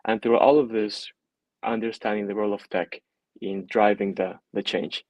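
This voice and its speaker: A man's voice: fairly low pitch, steady tone, normal speed, darkish tone, no room sound, low-quality recording. A man speaking as a panelist, giving his introduction and views in a discussion. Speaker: panelist, design strategist.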